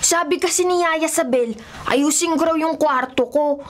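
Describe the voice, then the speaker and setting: A woman's voice: sits high, normal speed, close to an everyday voice. A woman playing a curious, stubborn, talkative young boy in a radio drama. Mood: earnest, spooked. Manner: childlike, talkative. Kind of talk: scripted radio drama acting.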